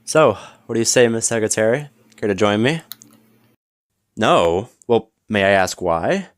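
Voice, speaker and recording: A man playing a smug, flirtatious businessman in an audio roleplay, teasing and smooth-talking with mock politeness. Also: fairly low pitch, animated, a little slow, dry recording, studio quality. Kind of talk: scripted audio roleplay acting.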